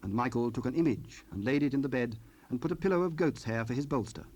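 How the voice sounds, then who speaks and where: A man's voice: medium pitch, fast talker, a little mellow, slightly rough. Male narrator, mid-range voice, solemn scripted Bible storytelling.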